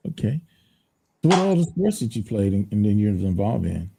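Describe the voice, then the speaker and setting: A man's voice: lowish voice, sing-song, brisk, creaky, noisy background. A man hosting an interview in a laid-back, chatty way, often repeating words as he gathers his thoughts. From United States. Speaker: podcast host. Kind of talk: casual interview chat.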